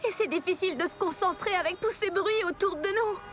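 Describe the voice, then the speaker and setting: A woman voicing a sweet, excitable young deer girl in a French children's cartoon dub. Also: very high voice, fast talker, slight background noise. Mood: anxious. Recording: background music under the voice.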